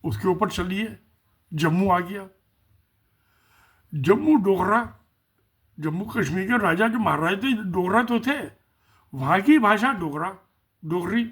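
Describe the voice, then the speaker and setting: A man's voice: high voice, expressive, takes it slowly, long pauses, fairly bright tone, breathy edge. A man giving casual spoken political commentary, musing and repeating himself, asking rhetorical questions.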